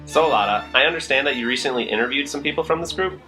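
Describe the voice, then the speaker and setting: A man co-hosting a scripted podcast, with a quick, deadpan delivery. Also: mid-range voice. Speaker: podcast co-host. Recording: music playing clearly behind.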